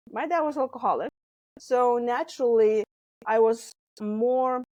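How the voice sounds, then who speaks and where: A woman's voice: on the high side, no echo, low-quality recording. A woman in her forties from Lithuania with a Lithuanian accent, a stress coach telling her story animatedly in a podcast interview. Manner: animated storytelling with laughs and acted quotes. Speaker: guest, stress management coach.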